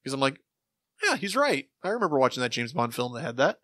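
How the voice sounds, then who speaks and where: Casting: male co-host, average pitch, bright forward tone, informal conversational podcast style, dry sound. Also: very animated, fast, no echo. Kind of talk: casual podcast discussion.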